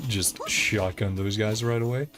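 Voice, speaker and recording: Low-voiced man giving casual game commentary. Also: measured pace, music in the background. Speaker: game streamer. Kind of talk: casual live gameplay commentary.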